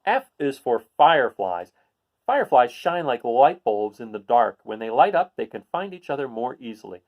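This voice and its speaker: A man's voice: mid-range voice, sing-song, normal speed, narrow, tinny audio. A man hosting a read-aloud, reading a children's picture book and adding casual, explanatory asides for young listeners.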